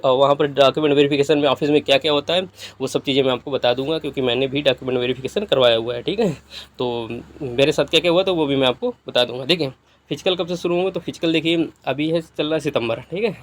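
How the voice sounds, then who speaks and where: A man speaks in a slightly high voice. He explains things step by step in a casual, instructive way, like an online guidance creator addressing viewers. He keeps adding short tags to check that listeners are following. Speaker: YouTube exam-guidance creator.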